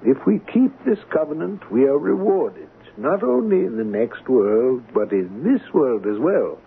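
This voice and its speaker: A creaky-voiced, principled gentleman, tinny radio. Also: fairly high pitch, sing-song, full character voice. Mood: solemn, earnest. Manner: formal, measured, philosophical. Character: devout, incorruptible art appraiser, gentlemanly and principled. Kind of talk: 1970s radio drama acting. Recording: noisy, tinny 1970s radio recording.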